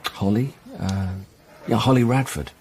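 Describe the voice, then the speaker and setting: An actor playing a despairing, self-loathing jailed husband in a radio drama, speaking in a hesitant, downbeat way. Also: male voice, on the low side, slow, darkish tone. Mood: weary, resigned. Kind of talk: naturalistic radio drama acting.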